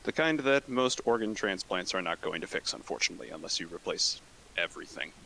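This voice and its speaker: A man with a fairly low voice lays out his character's backstory for a role-playing group. He sounds wry and pokes fun at himself. A faint hiss runs underneath. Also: expressive, fairly quick, vocal fry, bright, forceful tone, poor audio. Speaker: player. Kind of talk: presenting his character's backstory in a tabletop game.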